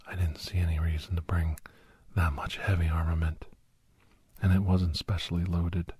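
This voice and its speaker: A man playing a weary, guilt-ridden older doctor and veteran hunter, whispering a slow, halting confession in a breathy, husky voice as an ASMR audio story. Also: deep voice, level tone, a little mellow, no echo. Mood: grave, reflective.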